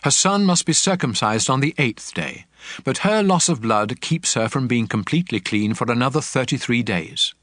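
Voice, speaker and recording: A man's voice: medium pitch, lively intonation, moderate pace, a bit bright, no room sound, clean studio sound, lightly acted. A man as a solemn, commanding God with sweeping intonation. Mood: solemn, instructive. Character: God speaking law, solemn, commanding male deity. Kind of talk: dramatized scripture reading. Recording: voice over a music bed.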